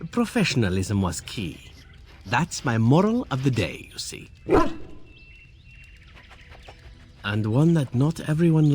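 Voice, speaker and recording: Suave, lilting rogue assassin. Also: male voice, on the low side. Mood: wry, nostalgic. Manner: silky, theatrical, teasing, with tag questions. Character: suave, roguish male elven assassin, playful and flirtatious. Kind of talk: video game character acting. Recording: faint music behind the voice. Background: faint background music.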